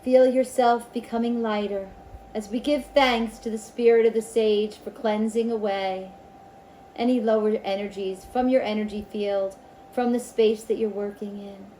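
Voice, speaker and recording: Female meditation leader, slightly high and very mellow, ceremonial phrasing with pauses, faint ambient noise behind. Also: steady tone, low-quality recording. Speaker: Reiki circle leader. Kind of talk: guided meditation with spoken invocations.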